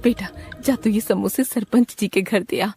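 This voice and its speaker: A woman's voice: medium pitch, fairly even intonation, normal speed, mellow, lightly acted. A devout, motherly village woman played by a female narrator speaks to her grown son in a cartoon tale. She sounds coaxing and caring, with light music underneath. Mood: urging. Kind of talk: cartoon story dialogue.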